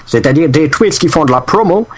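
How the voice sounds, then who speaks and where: A man's voice: a little high, lively intonation, fairly quick, a little hiss or hum. A man co-hosting a casual tech podcast, explaining news in a rambling way with many fillers and restarts. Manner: jokey, fake accents for laughs.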